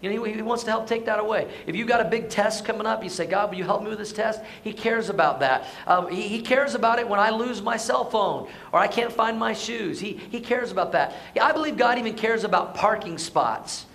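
A hoarse man preaching a conversational Sunday sermon, asking the congregation to answer back. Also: sits high, a little fast, few pauses, a bit bright, slight background noise. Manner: conversational, asks the congregation to respond. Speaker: pastor. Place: church sanctuary.

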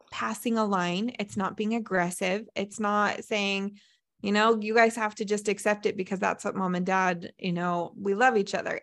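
A woman hosts a podcast interview in a chatty, conversational way. She responds readily and laughs along with her guest. Her pitch sits in an ordinary middle range. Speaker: podcast host.

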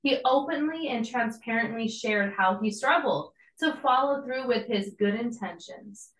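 Young woman, slightly hoarse, encouraging conversational preacher, roomy echo. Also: a little high, lively intonation, a little slow, fairly bright tone. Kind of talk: devotional sermon.

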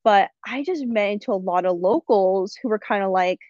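A young woman, a podcast guest, telling lively stories in casual chat and laughing as she goes. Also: mid-range voice, moderate pace, no room sound, highs cut off. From United States. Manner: lively storyteller, laughing through her anecdotes.

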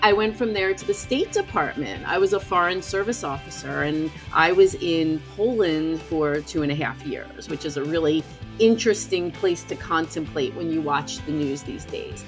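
A woman's voice: sits low, normal speed, soft background music. An American woman, a professor and author, speaking as a podcast guest in a chatty, self-deprecating storytelling style. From United States. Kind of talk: conversational personal storytelling in an interview.

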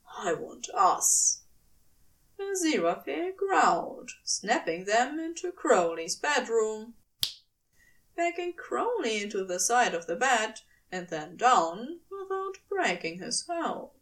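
A woman reading fiction aloud as a narrator, giving an expressive scripted performance. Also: fairly high pitch, slow pace, distant mic, rough recording. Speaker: podfic reader and narrator.

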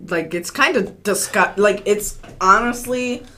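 A woman co-hosting a podcast, talking bluntly and profanely in a casual, animated way. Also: medium pitch, a little slow, slight background noise. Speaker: podcast co-host.

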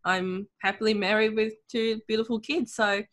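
A middle-aged woman from Hong Kong with a Hong Kong English accent, chatting in an interview, lively, often laughing, with frequent ums. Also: on the high side, moderate pace. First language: Cantonese. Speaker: guest, author and confidence coach. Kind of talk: casual interview talk.

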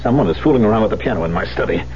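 An actor in a 1970s radio drama playing a bitter, volatile concert pianist, brooding and emphatic in a theatrical way. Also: male voice, lowish voice, expressive, rapid-fire, close to an everyday voice. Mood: startled, baffled. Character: bitter, volatile concert pianist who lost his hand. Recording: muffled, noisy 1970s radio recording.

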